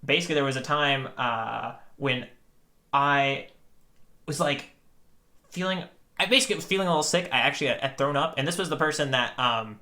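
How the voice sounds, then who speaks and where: A man co-hosting a podcast, chatting casually in an earnest way with deliberate phrasing. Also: medium pitch, animated, bright, forceful tone. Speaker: podcast co-host. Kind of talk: casual chat.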